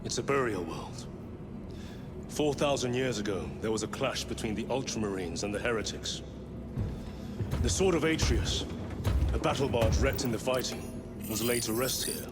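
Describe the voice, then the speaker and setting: A man plays a loyal, studious soldier in a game cutscene. His mid-range voice is measured and formal, set against very loud music. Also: medium pitch, animated, close to an everyday voice. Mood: earnest. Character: earnest, studious futuristic soldier, loyal sergeant. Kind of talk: dramatic video game acting.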